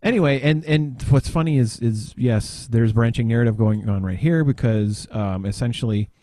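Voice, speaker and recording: A middle-aged man chatting casually on a podcast, explaining things in a rambling, halting way with trailing pauses. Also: mid-range voice, dark tone, dry recording.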